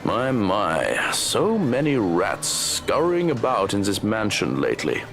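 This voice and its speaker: A menacing game henchman, acted out by a man, toying with his victim in mock-polite taunts. His slightly low voice swings up and down with cruel amusement, over background music. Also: wildly swooping pitch, takes it slowly, gravelly, full character voice. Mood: mocking menace. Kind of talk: visual novel villain dialogue, read aloud.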